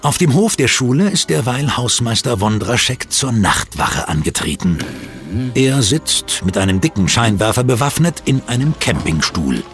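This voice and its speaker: A man's voice: medium pitch, sing-song, moderate pace, soft background music. A man reading scripted storytelling narration for an audio drama.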